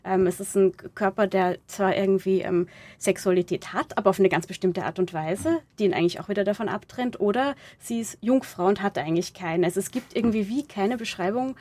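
A woman answering interview questions reflectively, haltingly searching for words and correcting herself as she goes. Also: mid-range voice, darkish tone, dry recording. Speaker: interviewed playwright discussing own audio drama.

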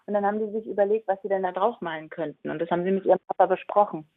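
Woman guest on a phone call, thin narrow sound, explaining stuff simply, mid pitch. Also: racing, no echo. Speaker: interview guest, funeral director and grief counsellor. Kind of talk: plain conversational explaining for children. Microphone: telephone. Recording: band-limited phone call.

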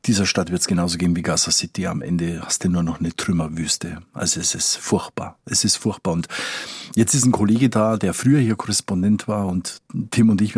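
Man, foreign correspondent, deep husky voice, conversational, emphatic, repeats phrases while thinking aloud. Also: a little mellow, dry recording, clean studio sound. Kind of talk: conversational podcast interview.

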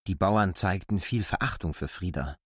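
A man with a husky voice giving an animated narration in a literary audio drama. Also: low-pitched, normal speed, dry recording, studio quality.

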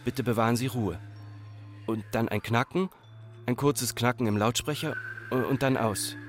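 An actor playing an Austrian farmer's son, telling a childhood memory in plain, measured radio drama narration. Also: male voice, mid-range voice, steady tone, faint music behind, lightly acted. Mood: reflective.

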